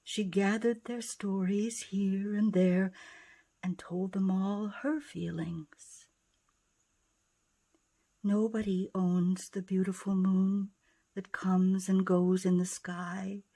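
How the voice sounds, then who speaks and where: A woman reading a rhyming picture book expressively, with dramatic delivery that dips into hushed tones. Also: mid-range voice. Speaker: author and narrator.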